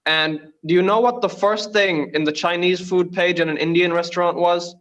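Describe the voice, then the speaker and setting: High-voiced man doing stand-up. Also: steady tone, moderate pace, muffled recording, noisy background. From Bangladesh. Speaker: stand-up comedian. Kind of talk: conversational stand-up routine.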